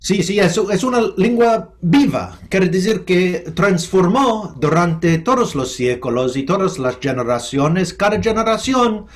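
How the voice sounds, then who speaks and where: Halting American man, higher voice. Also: animated. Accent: American English. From United States. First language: English. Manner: halting, thoughtful, with many restarts and fillers. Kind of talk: remote interview.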